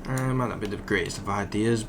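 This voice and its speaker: Croaky, just-woken man. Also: lowish voice, a little fast, noisy background. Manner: rambling, thinks aloud with fillers. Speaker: gaming channel host. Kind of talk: casual gameplay commentary.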